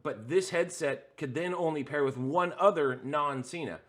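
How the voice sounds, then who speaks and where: Upbeat higher-voiced man explaining gear. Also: normal speed, a bit bright. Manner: explains step by step with frequent "uh" fillers; hands-on demonstration, points at devices. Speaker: host. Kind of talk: how-to tutorial explaining gear setup.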